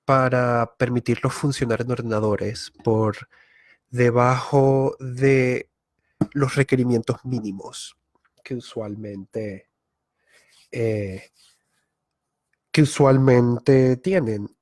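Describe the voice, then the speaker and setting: A man from Venezuela, a YouTuber giving a live online masterclass, thinking aloud with filler pauses and frequent self-corrections. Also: medium pitch, steady tone, a little mellow, no echo. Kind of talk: live-streamed talk.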